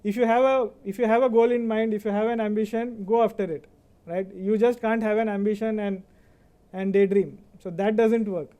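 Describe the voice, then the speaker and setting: A man's voice: high voice, fairly even intonation, moderate pace, dark tone, clear, resonant tone. A middle-aged Indian man whose first language is Kannada, giving a motivational convocation speech in Indian English. He talks conversationally and often checks in with "right?". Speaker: convocation speaker.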